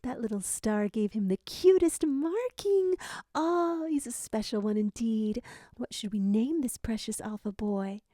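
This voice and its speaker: Woman playing proud female jackal parent, story character, tender cooing, lively high pitch, dry sound. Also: unhurried, no echo. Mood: delighted, cooing. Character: doting, proud jackal mother.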